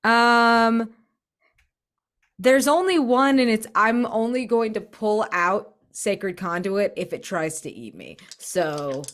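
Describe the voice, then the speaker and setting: A woman playing a tabletop game, chatting casually and reacting in an informal, expressive, wry way. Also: a little high, slow pace.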